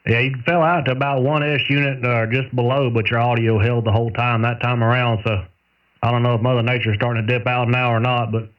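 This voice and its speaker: A man talks casually over a thin, narrowband radio link, sounding set back from the microphone. He is a radio operator with a folksy, countrified way of putting things, in a mid-pitched voice. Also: level tone, fairly quick. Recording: tinny narrowband radio transmission, far from mic.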